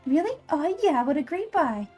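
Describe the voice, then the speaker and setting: A woman's voice: sits high, sing-song, moderate pace, darkish tone, soft background music, voice pushed up. A woman voicing a small, childlike fairy companion from a video game, chirpy, curious and cheekily blunt. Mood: cheeky, curious. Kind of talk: game dialogue read aloud.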